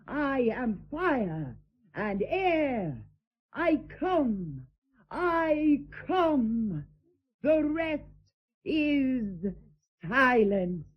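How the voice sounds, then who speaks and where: Flamboyant, husky society mistress. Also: female voice, a little high, highs cut off, full character voice. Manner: grand declaiming, arch, drawn-out delivery. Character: theatrical, vain society hostess and mistress, flamboyant and earthy. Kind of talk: stage-style radio drama acting.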